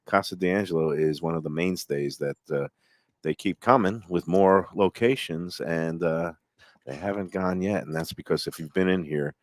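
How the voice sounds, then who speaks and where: A man's voice: low voice, moderate pace, dry recording. A man hosting a casual lunch talk show, affable and rambling with lots of fillers.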